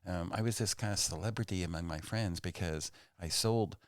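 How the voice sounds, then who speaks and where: A man in his sixties from Oklahoma, a stand-up comedian guesting on a podcast, telling stories in a loud, animated, emphatic way. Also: low voice, a little fast, no room sound, clean studio sound. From Oklahoma, USA. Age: 60s. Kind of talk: casual chat, storytelling. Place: small podcast studio.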